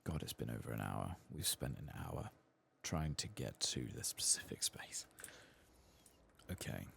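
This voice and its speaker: A man speaking softly and at times whispering in ASMR gameplay commentary, murmuring and musing to himself close to the microphone. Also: extremely low pitch, animated, measured pace, creaky. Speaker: host of a gaming ASMR channel.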